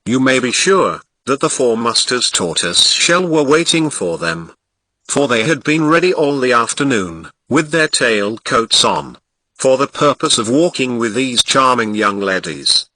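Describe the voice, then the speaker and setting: A Ghanaian man narrates an old nursery tale with an expressive touch, leaning into the descriptive words. His tone is strikingly bright and sharp, and his pitch swings lively up and down. The recording is dry and close. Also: measured pace, dry recording, low-quality recording. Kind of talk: storybook reading.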